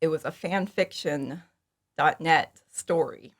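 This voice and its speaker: Woman, a lower-toned voice, informal storyteller as podcast interview guest. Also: takes it slowly. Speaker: interview guest, fan audio drama creator. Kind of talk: casual chat, telling how a project came about.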